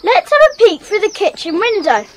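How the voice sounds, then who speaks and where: A very high, animated child in a children's adventure play. The character is curious yet careful, heard over faint background noise. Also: female voice, brisk. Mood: curious, wary. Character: cautious but curious young child detective. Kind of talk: children's audio drama acting.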